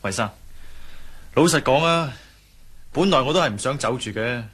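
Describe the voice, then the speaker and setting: Hired sidekick: blunt, reluctant, Hong Kong Cantonese, medium pitch, rough-edged husky tone, radio drama. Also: male voice, expressive, normal speed, fairly bright tone. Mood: blunt, awkward. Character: Ngai Pak, blunt, reluctant hired male helper.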